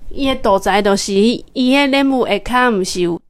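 A woman's voice: on the high side, moderate pace, dry recording. A woman with a Taiwanese accent talking children through a picture book as their mother, asking guiding questions and repeating answers.

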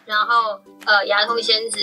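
A woman's voice: medium pitch, level tone, normal speed, sharp tone, soft background music, low-quality recording. A woman with a Taiwanese Mandarin accent, hosting a casual group conversation on a podcast. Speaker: podcast host.

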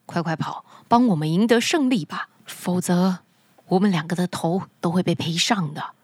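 A woman's voice: on the low side, normal speed, a little mellow, no room sound, voice pushed down, close to an everyday voice. A woman voicing a retired soldier in a fairy tale, an earnest leader urging on his team.

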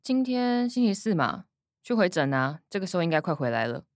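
A sardonic, animated Taiwanese older sister. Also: female voice, on the low side, brisk, no echo, clean studio sound. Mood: matter-of-fact. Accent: Taiwanese Mandarin. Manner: sardonic, teasing. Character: sarcastic, teasing older sister, a young working woman. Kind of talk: radio drama acting.

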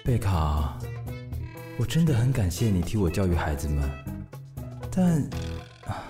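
A male actor playing a gentle, easily flustered widowed father in a radio drama, hesitant and soft-hearted, with a Taiwanese accent. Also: low voice, very animated, measured pace, music in the background. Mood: wistful, worried. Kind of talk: Mandarin radio drama acting.

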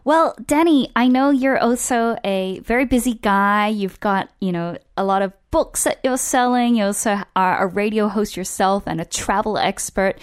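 A woman's voice: mid-range voice, moderate pace, few pauses, clean tone. A woman hosting a conversational radio interview in an upbeat, encouraging and polite manner. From Canberra, Australia.

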